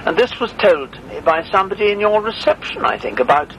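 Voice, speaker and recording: A man's voice: sits high, sing-song, fast talker, a bit bright, a little hiss or hum. A man on a phone call as a dental patient, speaking in a formal, old-fashioned and stern way with precise wording. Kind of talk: phone conversation.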